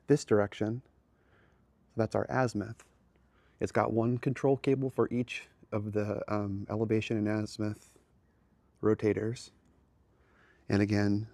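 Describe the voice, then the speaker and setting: A man's voice: fairly low pitch, a little mellow, no room sound. A man giving a casual walk-through tour as host, explaining things in a plain-spoken way. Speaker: host, amateur radio hobbyist. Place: outdoors by a house, then garage and small radio room.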